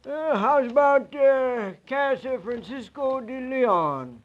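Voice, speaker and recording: An actor playing a sleepy, folksy old American night manager, hesitant and drowsy, in a vintage radio drama. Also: male voice, very high voice, animated, slow pace, exaggerated voice. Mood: drowsy, obliging. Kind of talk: 1950s radio drama acting. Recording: dull old radio recording.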